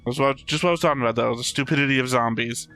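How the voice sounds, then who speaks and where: A man's voice: medium pitch, very fast, pushed and bright, soft background music. A man hosting a reaction show, commenting casually on what he watches.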